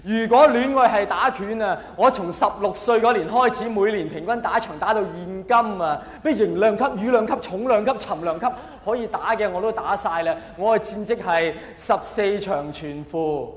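Male stand-up comedian, Hong Kong Cantonese accent, high voice, theatrical self-mocking storytelling, long hall reverb. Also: normal speed, hardly any pauses. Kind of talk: stand-up comedy monologue to a live audience. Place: large live comedy venue. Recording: echoey hall, faint noise.

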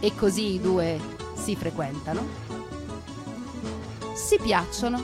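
A woman narrating a scripted stage story in a theatrical, deliberate way with conversational asides. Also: on the low side. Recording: music behind a radio theatre performance.

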